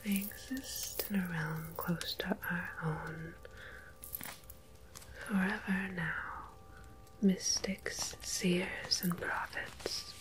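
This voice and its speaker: Woman acting female tarot medium, ASMR roleplay, breathy whisper, unhurried, mysterious, gentle, long pauses. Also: fairly low pitch, steady tone, rough recording. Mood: mystical, solemn. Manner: slow, hushed, deliberate, with long pauses.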